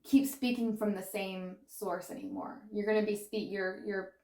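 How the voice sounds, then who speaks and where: A woman giving a tarot card reading in an excitable, gushing way. She says she is losing her voice. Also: medium pitch, normal speed, reverberant. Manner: excitable and gushing, exclaiming and laughing. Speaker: tarot reader. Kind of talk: pick-a-pile tarot reading.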